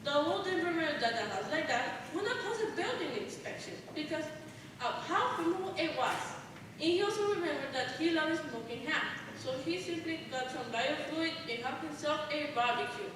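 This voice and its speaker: A woman's voice: high-pitched, expressive, a little fast. A young female student giving scripted fairy tale narration in a live school play. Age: young teen student. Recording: echoey hall from far off, noisy.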